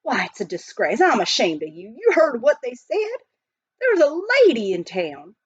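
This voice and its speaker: A woman voicing an excitable, blustery old-time prospector man with a folksy frontier drawl in a children's storybook. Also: a little high, normal speed, breathy edge, dry recording, lo-fi sound, voice pushed up, full character voice. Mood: scolding.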